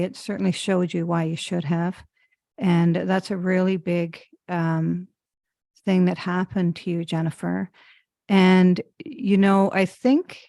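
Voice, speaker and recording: A woman hosting a podcast interview, speaking haltingly with many ums and restarts. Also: lowish voice, gravelly, dark tone, no echo, studio quality. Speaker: podcast host. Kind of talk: interview conversation.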